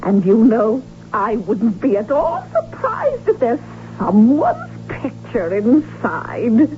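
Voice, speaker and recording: Scheming, syrupy archduchess mother. High, theatrical, drawn-out cooing, radio drama with faint noise. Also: huge pitch swings, narrow, tinny audio, full character voice. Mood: gushing, nosy. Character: gushing, scheming archduchess mother, cloyingly sweet and nosy.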